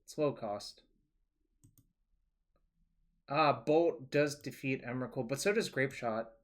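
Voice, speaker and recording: Young male streamer, relaxed, rambling, explains plays as he goes, mid-pitched voice. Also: slow. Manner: relaxed, rambling, thinking aloud. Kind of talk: casual card-game stream commentary.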